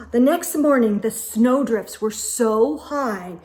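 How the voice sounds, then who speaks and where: Slow-paced, higher-voiced woman reading to children. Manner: expressive, gasps and whispered asides. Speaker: children's read-aloud storyteller. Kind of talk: animated picture-book read-aloud with asides to children.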